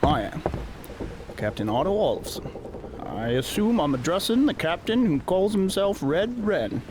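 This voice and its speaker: An actor playing a seasoned, level-headed merchant ship captain, gruff and plain-spoken, in an audio fantasy drama. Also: male voice, high voice, very animated, moderate pace. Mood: guarded. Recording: loud ship and water noise behind.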